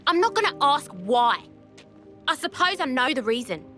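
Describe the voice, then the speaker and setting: A woman's voice: sits high, sing-song, normal speed, sharp tone, faint music behind, full character voice. A woman playing a brash, foul-mouthed, loyal young female fighter in a video game cutscene, with a cocky, blunt, slangy manner. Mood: stern, bewildered.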